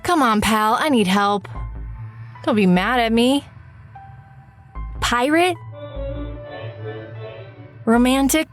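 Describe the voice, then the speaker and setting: A woman's voice: fairly high pitch, slow, pauses often, faint music behind. A woman playing a weary writer in an audio drama, musing and confiding in the listener with wry, self-mocking asides. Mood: coaxing, pleading.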